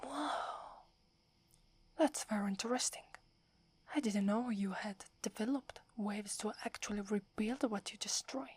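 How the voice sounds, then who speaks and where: Proud tsundere werewolf girl, whispered by an Italian woman: breathy, slow, close-mic ASMR. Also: medium pitch. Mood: scornful, teasing. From Italy. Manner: haughty but stammers when flustered.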